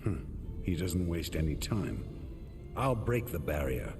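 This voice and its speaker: A man playing a stern, battle-hardened knight hero in a fantasy game cutscene, speaking in gruff, terse lines. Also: rumbling low, big pitch swings, measured pace, heavily acted. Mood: commanding. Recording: long reverb, music behind.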